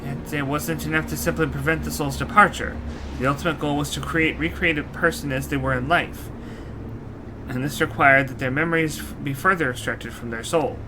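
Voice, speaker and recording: A man's voice: on the high side, steady tone, a little fast, noisy background, close to an everyday voice. Man voicing a solemn old mechanical knight. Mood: solemn, earnest. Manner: formal, archaic, solemn storytelling. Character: courtly old knight reborn in a mechanical body. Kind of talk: fantasy game character dialogue. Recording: music behind the voice. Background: game music.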